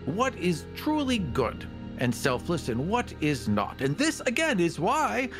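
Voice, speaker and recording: A man's voice: high-pitched, huge pitch swings, unhurried, exaggerated voice. A man playing a smug, self-righteous owl-headed being in a tabletop roleplay, gloating and insisting that he should judge mortals. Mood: indignant, self-righteous. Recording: voice over clear background music.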